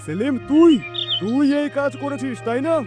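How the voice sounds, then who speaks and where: A man playing a cruel, quick-tempered village landlord who scolds and orders people about in a cartoon horror story. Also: very high voice, lively intonation. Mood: furious, accusing. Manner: scolding, domineering, commanding. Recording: music behind.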